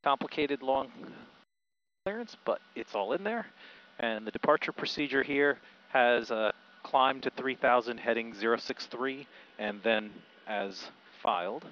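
A man's voice: medium pitch, expressive, a little slow, a bit bright. A middle-aged man, a pilot, narrating from a small plane cockpit and giving clipped, matter-of-fact radio readbacks through a headset. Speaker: pilot and vlogger. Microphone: aviation headset boom mic. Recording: muffled headset audio with engine noise.

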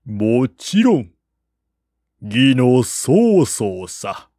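A man voicing a blunt, unruffled, aloof strategist in an audiobook, gruff and curt with drawn-out words. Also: medium pitch, sing-song, mellow, dry recording, studio quality. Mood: teasing. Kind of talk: audiobook character voice.

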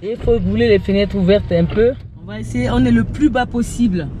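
A woman's voice: fairly high pitch, a little fast. Chatty woman vlogging from a noisy camper. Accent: French. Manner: chatty, explains step by step, repeats herself. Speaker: travel vlogger. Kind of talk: casual driving tutorial. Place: inside a stopped then moving camper van, windows open. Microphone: action camera on the dashboard. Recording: loud engine and road noise.

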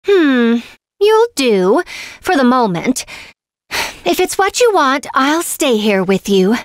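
A woman's voice: high-pitched, very animated, slow, dry recording, clean studio sound, full character voice. A woman playing a vain, demanding young noble lady for a video game, pompous, pouty and theatrical. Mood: haughty, smug. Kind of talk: video game character voice lines.

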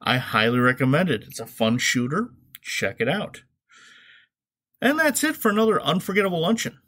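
A man's voice: on the high side, very animated, moderate pace, bright, forceful tone. Sarcastic man hosting a podcast. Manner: sarcastic, swearing, emphatic asides. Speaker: podcast host. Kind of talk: comedic, opinionated podcast commentary, semi-scripted.

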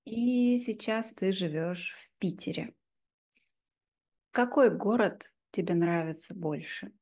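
A woman in her thirties hosting a simplified interview for learners, asking short, clearly phrased questions into a desk microphone. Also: mid-range voice, takes it slowly, darkish tone. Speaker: host, interviewer. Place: home room, video call.

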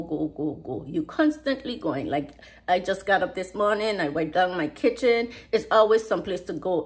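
A middle-aged woman with a US Virgin Islands Caribbean accent, chatting casually to camera as a vlogger, emphatic and given to repeating her phrases. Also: lowish voice. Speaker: vlogger, host. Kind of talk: casual vlog chat to camera. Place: home kitchen, indoors.

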